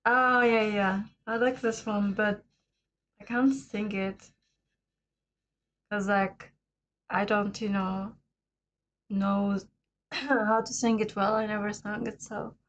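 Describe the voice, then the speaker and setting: A woman hosting a laid-back livestream chat, her voice raspy and hoarse today, often laughing between remarks. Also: mid-range voice, fairly even intonation, slow pace, long pauses, fairly bright tone, a little hiss or hum, poor audio. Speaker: livestream host. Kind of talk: casual chat with viewers.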